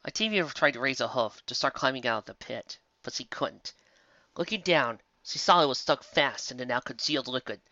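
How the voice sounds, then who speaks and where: A man reading a story aloud in an expressive, animated storybook manner. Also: mid-range voice, brisk, dry recording. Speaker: audiobook reader. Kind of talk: storybook narration. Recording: dull lo-fi dry recording.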